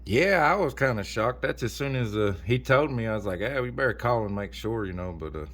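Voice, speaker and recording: A man's voice: medium pitch, animated, fairly quick, a bit bright. A man chatting casually as an amateur radio operator, in a folksy, rambling way. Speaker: amateur radio operator recording for a video channel. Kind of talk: casual chat over ham radio.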